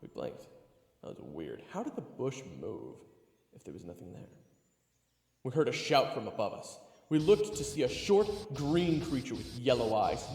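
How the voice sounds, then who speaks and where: Gravelly, wry male adventurer narrator, acted. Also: mid-range voice, echoey room, long reverb tail. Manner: wry, casual. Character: sardonic, casual male adventurer telling his own tale. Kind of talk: first-person dramatic narration and acting.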